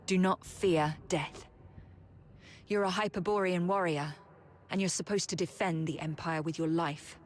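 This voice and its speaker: An actress playing an earnest, loyal young soldier in a game. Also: female voice, fairly low pitch, steady tone, measured pace, a little hiss or hum, close to an everyday voice. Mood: earnest, resolute. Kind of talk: game voice acting.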